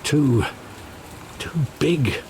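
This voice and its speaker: An actor playing a grave, devoted elderly butler in a video game, speaking in a measured, earnest, confessional manner, weary and remorseful. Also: male voice, on the high side, expressive, a little mellow. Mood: confiding. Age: older. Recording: clear rain noise behind. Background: rain.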